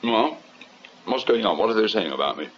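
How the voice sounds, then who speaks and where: An actor playing a selfish, devious, short-tempered husband in a radio comedy drama, brusque and scornful. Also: male voice, on the low side, lively intonation, brisk, lightly acted. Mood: grumpy, smug. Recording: dull, slightly noisy radio recording.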